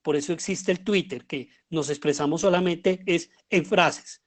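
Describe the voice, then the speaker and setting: A man with a Colombian accent giving an explanatory lecture as a teacher, often pausing and posing rhetorical questions. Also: on the high side. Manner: halting, with pauses and rhetorical questions. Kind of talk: explanatory lecture on writing audio fiction.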